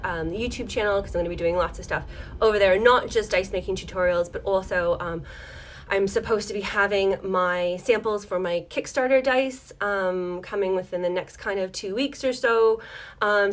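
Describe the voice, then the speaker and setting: A woman's voice: medium pitch, fairly bright tone, slight background noise. A woman hosting a casual livestream tutorial from her home desk, chatting in a rambling, apologetic way with many ums while losing her voice. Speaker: dice maker hosting a live tutorial.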